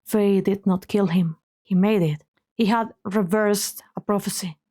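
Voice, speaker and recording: Slow, solemn female narrator. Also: medium pitch, fairly even intonation, warm tone. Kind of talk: scripted dramatic story narration. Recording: background music under the voice.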